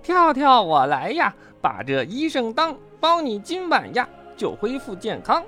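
A storyteller voicing an excitable, caring young animal in a children's storybook, eager and exclaiming. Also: mid-range voice, a little fast, faint music behind, voice pushed up. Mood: eager, cheerful.

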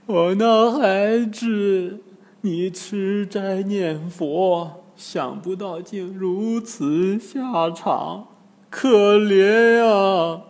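A man voicing a demon disguised as an old woman in a storybook tale, theatrical, plaintive and drawn-out, in long reverb. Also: sits high, expressive, voice pushed up, full character voice. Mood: wailing grief.

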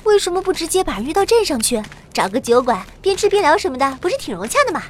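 A woman's voice: sits high, big pitch swings, fast, slight background noise. A woman playing a chatty young mage girl, timid yet cocky, in a Chinese audio drama. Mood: complaining, uneasy. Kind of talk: Chinese audio drama acting, first-person narration and dialogue.

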